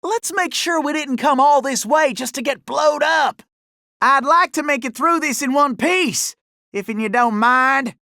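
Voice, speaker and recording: A man's voice: very high-pitched, measured pace, pushed and bright, no room sound, studio quality, full character voice. A man voicing a folksy, wisecracking cowboy gunslinger animal in a video game, with a Southern Old West drawl and colorful frontier slang. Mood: jittery, joking. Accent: American Old West Southern drawl. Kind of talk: video game character acting.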